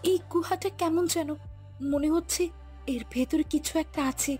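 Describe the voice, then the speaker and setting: A woman's voice: high voice, normal speed, warm tone, faint music behind, lightly acted. A woman playing an anxious but determined young winged fairy princess in a cartoon. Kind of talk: dramatic animated fairy tale acting.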